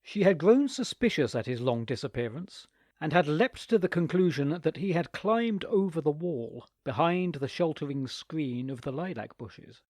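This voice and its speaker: Expressive male storyteller, dry sound. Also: on the high side, moderate pace, no room sound. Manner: expressive, theatrical. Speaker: reader. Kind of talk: scripted story narration.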